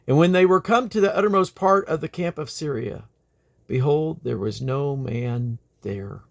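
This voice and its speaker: A man reading the Bible aloud in a deliberate, expressive way. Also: a little high, vocal fry. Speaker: scripture reader.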